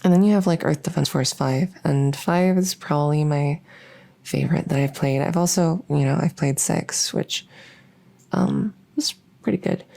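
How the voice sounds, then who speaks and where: A woman's voice: low voice, creaky, dark tone. A woman playing a shy, lonely, socially awkward vampire who wants friends, talking in a hesitant, rambling way in an ASMR audio roleplay. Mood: geeky, rambling. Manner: hesitant, halting, rambling, full of self-corrections.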